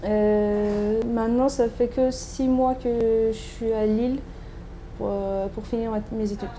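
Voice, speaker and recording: A woman from Turkey, a native Turkish speaker, talking in a casual interview. Her voice sits a little high and sounds mellow and dark. She hesitates a lot and restarts her sentences, with faint noise in the background. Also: little pitch movement, a little slow. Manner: hesitant, many fillers and restarts. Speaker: interviewee, doctoral student.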